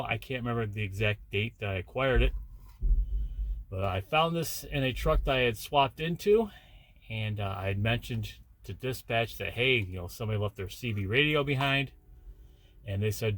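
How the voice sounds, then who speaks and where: A man's voice: medium pitch, moderate pace, fairly bright tone. A man talking in a casual, rambling, plain-spoken way, like a truck driver vlogging from his cab. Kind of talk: casual rambling vlog chat.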